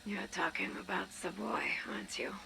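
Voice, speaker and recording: An actress playing a determined young woman with powers, speaking softly and often half-whispered. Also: medium pitch, normal speed, gravelly, noisy background.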